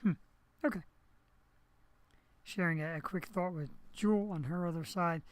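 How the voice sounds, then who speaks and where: Hoarse, raspy male webcast host. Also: sits high, normal speed, a little hiss or hum. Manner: rambling and chatty, talking straight to viewers. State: hoarse after surgery. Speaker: talk show host. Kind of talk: casual live webcast monologue. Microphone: headset.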